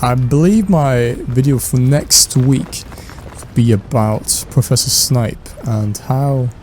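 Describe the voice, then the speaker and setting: A man's voice: mid-range voice, slow pace, darkish tone, slight background noise. A young Danish man with a Danish accent, chatting casually while playing, with many fillers and restarts. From Denmark. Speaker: gaming YouTuber. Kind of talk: casual gameplay chat.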